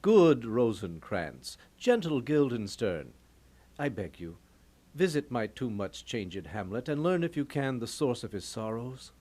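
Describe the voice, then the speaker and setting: A man's voice: mid-range voice, very animated, measured pace, dark tone. A man playing a stately, concerned, scheming king, formal and regal, in a theatrical Shakespeare puppet show.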